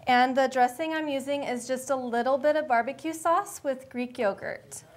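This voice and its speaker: A woman's voice: high-pitched, moderate pace. Young woman demonstrating a salad recipe. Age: young adult. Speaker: dietetics student. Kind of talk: cooking demonstration. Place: studio kitchen set.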